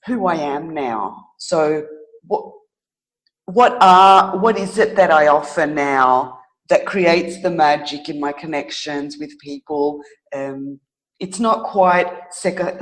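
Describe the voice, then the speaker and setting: A middle-aged woman telling her own story as an interview guest, reflective and thoughtful, often pausing to search for words. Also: lowish voice, poor audio.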